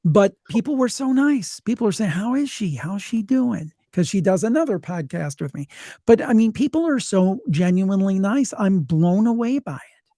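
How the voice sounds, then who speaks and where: Male podcaster, fairly high mellow voice, folksy casual storyteller in conversation. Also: lively intonation, normal speed, no room sound, clean studio sound. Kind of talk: casual anecdotal chat.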